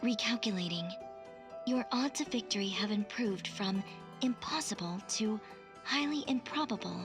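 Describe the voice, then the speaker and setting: A woman's voice: medium pitch, moderate pace, over music, close to an everyday voice. An actress playing an aloof, logical young female artificial intelligence in a video game, speaking in a formal, clipped, detached way. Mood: detached, analytical. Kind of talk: video game cutscene voice acting.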